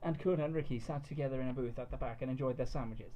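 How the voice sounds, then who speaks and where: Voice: medium pitch, fast talker, mellow, poor audio. A narrator giving a mock-serious dramatic reading of fan fiction.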